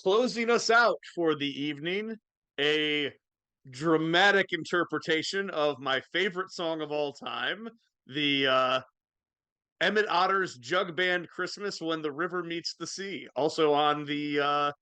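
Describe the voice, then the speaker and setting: A man's voice: on the high side, unhurried, pushed and bright, smooth, no room sound. A man casually presenting at a live online reading.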